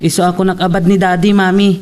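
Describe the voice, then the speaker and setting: A man's voice: sits high, little pitch movement, fast talker, a little mellow, a little hiss or hum, lightly acted. A man playing an aggrieved young son devoted to his mother in an Ilocano family drama. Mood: bitter, upset. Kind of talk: Ilocano family radio-style drama acting.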